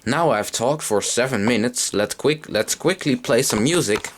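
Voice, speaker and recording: A man's voice: medium pitch, animated, moderate pace. A man casually talking through an unscripted hands-on gear demonstration, a little hesitant as he goes. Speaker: hobbyist demonstrating vintage audio gear. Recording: noisy amateur camera sound with clicks.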